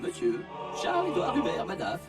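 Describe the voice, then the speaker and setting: A fawning, bombastic TV interviewer played by a man in a news satire. The high, creaky voice swoops wildly, set back from the mic with music behind. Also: huge pitch swings, normal speed, distant mic, full character voice. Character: fawning, theatrical male TV interviewer of a partisan show.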